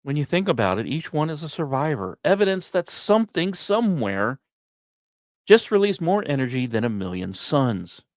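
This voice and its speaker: Guy doing science podcast narration, mid pitch that swings a lot, short phrases w/ dramatic pauses, odd casual aside, really dry sound. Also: big pitch swings, dry recording. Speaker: podcast host.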